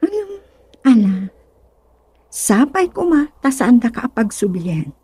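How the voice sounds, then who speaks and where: A knowing female envoy of the afterlife in a comic radio serial. She is calm and teasing, speaking very slowly and deliberately. Her voice is high, soft-toned and husky. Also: no echo, exaggerated voice. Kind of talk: comedic radio drama acting.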